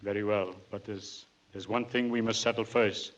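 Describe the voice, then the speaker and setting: A man's voice: on the low side, steady tone, moderate pace, close to an everyday voice. A man playing an absent-minded, easily exasperated husband in a theatrical 1940s radio comedy style. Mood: solemn. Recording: muffled, noisy old radio recording.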